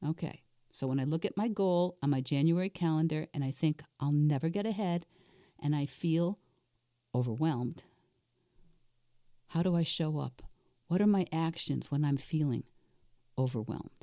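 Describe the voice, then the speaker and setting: A woman in her sixties with a raspy voice, slightly under the weather, coaching herself aloud on a solo podcast in a deliberate, reflective way. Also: deep voice, warm tone, no echo, studio quality. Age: 60s. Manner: deliberate, reflective, asks and answers own questions. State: unwell with a cold-like illness. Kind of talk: self-coaching monologue, thinking aloud.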